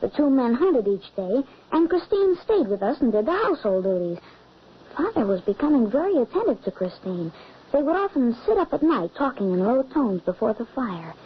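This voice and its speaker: A woman's voice: on the high side, sing-song, moderate pace, breathy edge. An anxious young girl. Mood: narrating. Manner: earnest, anxious. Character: frightened young girl narrating her past. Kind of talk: 1940s radio acting. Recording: dull old radio recording with hiss.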